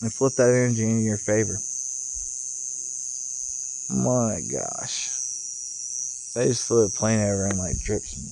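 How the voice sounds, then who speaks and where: A man speaking as a vlogger in a casual, off-the-cuff spiritual monologue, musing in broken phrases with pauses. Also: on the low side, creaky, background noise. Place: outdoors, sitting in a rocking chair. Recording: outdoor recording with chirping insects. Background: cicadas or crickets.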